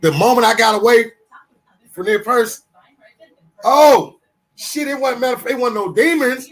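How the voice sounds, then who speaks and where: A man in his fifties with a fairly high voice, talking loosely on a podcast. He stays animated and profane, stumbles over restarts, and keeps circling back into his stories. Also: unhurried. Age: 50s. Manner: animated, swearing, storytelling with restarts. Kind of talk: casual podcast talk.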